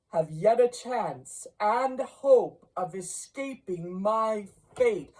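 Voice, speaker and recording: A woman's voice: medium pitch, a little mellow, pitched above the performer's own voice, low-quality recording. A woman playing a mournful male ghost in a storybook reading, solemn and declamatory, drawing out grave warnings. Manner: slow, drawn-out, declamatory delivery.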